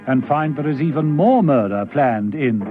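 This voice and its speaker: A man's voice: a little high, lively intonation, normal speed. A man narrating a scripted radio drama as its storyteller. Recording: dull old radio recording with music.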